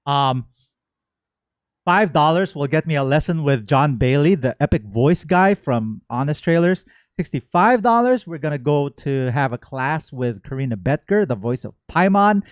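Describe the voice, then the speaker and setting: A man hosting a game stream, chatting casually and playfully, given to excited exclamations and rambling asides. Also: a little high, moderate pace, dry recording. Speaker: streamer and host. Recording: music playing behind the voice. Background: game music.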